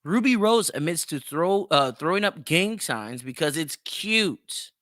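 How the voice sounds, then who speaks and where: Bright, forceful man giving blunt commentary. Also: a little high, animated, unhurried, dry recording, studio quality. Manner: blunt, mocking, profane, emphatic repetition. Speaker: podcast host. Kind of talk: solo opinionated commentary.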